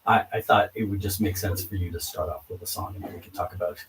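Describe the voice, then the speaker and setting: A man's voice: low voice, fairly even intonation, fast, slight background noise, poor audio. A man in his fifties hosting a casual radio chat in a studio. He jokes and often restarts his words. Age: 50s. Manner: friendly, joking, stumbles and repeats words. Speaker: radio host.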